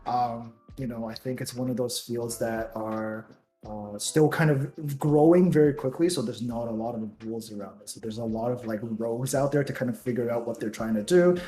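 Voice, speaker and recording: A man's voice: medium pitch, moderate pace. Chatty young man in a roomy room. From Taiwan. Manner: relaxed, rambling with many ums and likes. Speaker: podcast co-host. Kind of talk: casual chat.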